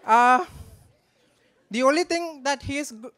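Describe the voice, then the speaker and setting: A man gives a joking, affectionate, slightly halting wedding toast from notes into a handheld microphone. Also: very high voice, fairly bright tone, some chatter behind. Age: 20s. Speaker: best man, groom's brother. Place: wedding reception in a large draped marquee.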